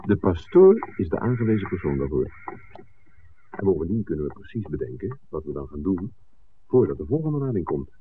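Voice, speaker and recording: Worldly wry friend, low voice, lively swooping intonation, bantering, principled, vintage radio play. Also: male voice, fairly quick. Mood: wry, resigned. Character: worldly, principled man, teasing friend and colleague. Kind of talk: 1960s Dutch radio play acting. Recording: muffled, noisy old radio drama recording.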